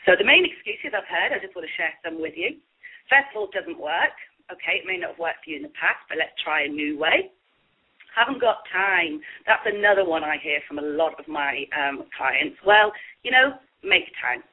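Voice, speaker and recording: A woman with a head cold, hosting her own radio talk show as a life coach, chatty, upbeat and coaxing, with a husky, blocked-up voice. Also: lowish voice, animated, normal speed. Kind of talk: scripted solo radio talk. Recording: tinny phone-like line.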